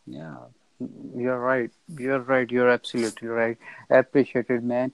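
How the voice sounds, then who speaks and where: A polite young man in his twenties who talks haltingly, with many restarts, on a video call. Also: mid-range voice, monotone, no echo, low-quality recording. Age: 20s. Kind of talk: casual conversation.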